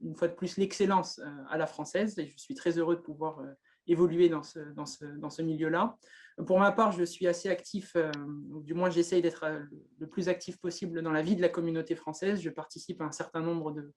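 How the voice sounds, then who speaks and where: A man's voice: a little high, fairly even intonation, fairly quick, a little mellow. A man in his twenties introducing himself hesitantly on a video call, with many fillers and repeated words. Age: 20s. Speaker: candidate.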